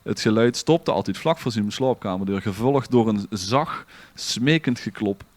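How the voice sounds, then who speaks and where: A man reading a legend aloud in a relaxed, theatrical storytelling manner. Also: fairly low pitch, unhurried, no echo. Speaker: podcast host. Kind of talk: dramatic read-aloud storytelling.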